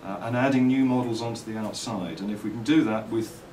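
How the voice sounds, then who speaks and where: A middle-aged man with an English accent, giving reflective, articulate interview answers in measured phrases and telling anecdotes as a company owner and designer. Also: fairly low pitch, a little mellow. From England. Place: indoors at a trade show. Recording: roomy, distant mic, faint background noise.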